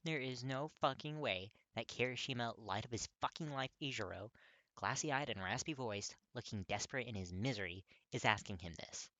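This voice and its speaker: A narrator reading fiction aloud in an expressive, scripted storytelling style. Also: mid-range voice, normal speed, no echo.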